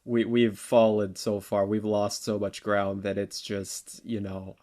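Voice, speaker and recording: A man co-hosting a podcast, discussing politics casually in a halting, informal way and often repeating words. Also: on the low side, flat delivery, a little mellow. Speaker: podcast co-host debating politics.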